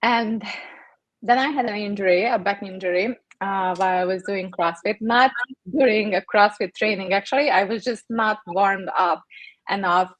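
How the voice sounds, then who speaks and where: Young Hungarian-accented woman, native Hungarian, animated interview guest, dry close sound. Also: medium pitch, normal speed, no room sound, lo-fi sound. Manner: animated, storytelling with fillers. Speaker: podcast guest. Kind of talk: interview storytelling.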